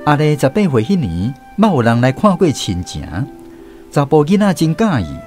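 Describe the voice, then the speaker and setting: A man's voice: a little high, sing-song, normal speed, a little mellow, soft background music. A man with a Taiwanese accent narrating a scripted first-person story for a radio drama.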